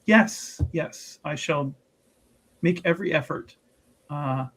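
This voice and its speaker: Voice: medium pitch, takes it slowly. A player voicing an earnest, devout religious sister who speaks formally and carefully in a tabletop game. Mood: polite, dutiful. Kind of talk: tabletop roleplay in character. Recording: clear background noise.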